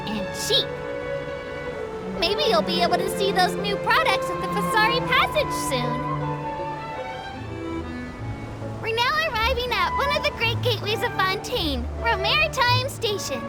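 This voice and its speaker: A woman voicing a small childlike creature in a video game, a cheerful, earnest tour guide who makes polite, chirpy scripted announcements. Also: very high voice. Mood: bright, helpful. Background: game music.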